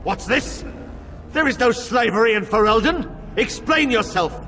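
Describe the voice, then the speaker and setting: An actor playing an indignant noble in a game cutscene, speaking formally and emphatically. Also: male voice, very high voice, expressive, measured pace, pushed and bright, a little hiss or hum, exaggerated voice. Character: indignant noble challenging the ruling regent. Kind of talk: dramatic game cutscene acting. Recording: echoing hall, faint music behind.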